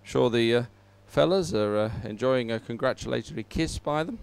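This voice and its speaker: A man giving casual, informal live sports commentary in a clear voice, often hesitating as he thinks aloud. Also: mid-range voice, expressive, moderate pace.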